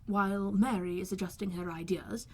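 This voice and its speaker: A woman voicing a calm, sardonic middle-aged father in an audiobook, teasing in a measured, wordy, deadpan way. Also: medium pitch, a little hiss or hum, close to an everyday voice. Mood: wryly amused. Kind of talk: audiobook character voice.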